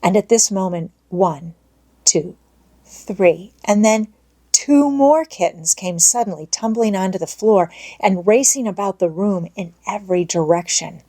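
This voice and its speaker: A woman's voice: medium pitch, unhurried, mellow. A woman narrating an audiobook, reading a children's story expressively from a script.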